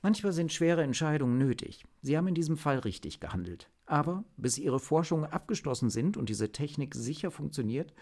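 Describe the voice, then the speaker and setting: A stern but fair woman in charge of a team, voiced by a male narrator. She speaks formally and commandingly in an audiobook story, with raised, animated pitch. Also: brisk, hardly any pauses. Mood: stern, approving.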